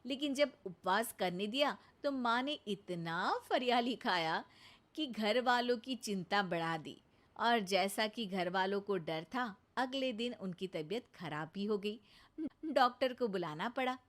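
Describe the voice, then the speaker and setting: A woman's voice: medium pitch, lively intonation, a little slow. A woman narrator reading a scripted cartoon family story.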